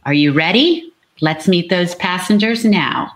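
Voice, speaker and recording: A woman's voice: on the low side, animated, a little slow. A woman narrating a dramatic historical story as an amateur scripted reading.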